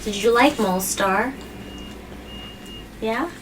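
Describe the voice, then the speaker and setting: Woman explaining gently, slightly distant mic. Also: a little high, takes it slowly. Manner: gently didactic, often checking with questions. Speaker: host of a children's story read-aloud show. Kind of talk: picture-book reading and simple explanations to a child. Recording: distant mic, faint background noise.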